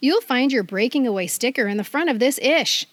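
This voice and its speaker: Highish-voiced middle-aged American woman podcaster. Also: lively intonation, brisk, dry recording. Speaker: podcast co-host. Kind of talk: casual chat with read-aloud magazine excerpts.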